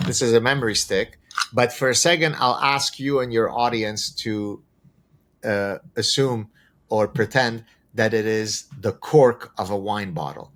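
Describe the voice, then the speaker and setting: A man whose first language is Arabic, explaining ideas as a podcast guest in a deliberate, professorial way with rhetorical questions. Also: fairly low pitch, fairly bright tone. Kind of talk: conversational explanation with examples.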